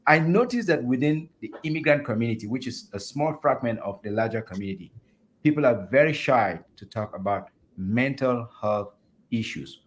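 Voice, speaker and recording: A man's voice: medium pitch, expressive, normal speed. Chatty male host of a fireside chat. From Africa. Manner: enthusiastic, chatty, laughs easily. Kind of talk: virtual fireside chat hosting. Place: home video call. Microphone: video call.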